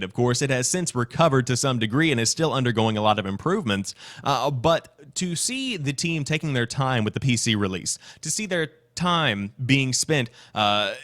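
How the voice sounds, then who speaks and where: A man's voice: medium pitch, a bit bright. A man hosting a gaming news show, mixing read-out news with his own off-the-cuff commentary.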